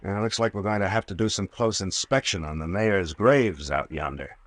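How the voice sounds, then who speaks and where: Cocky old radio drama captain, lowish voice, sardonic and slangy, dry close sound. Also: male voice, normal speed, no room sound. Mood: wry, probing. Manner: brash, sardonic, folksy slang. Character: gruff wisecracking sea captain playing armed investigator.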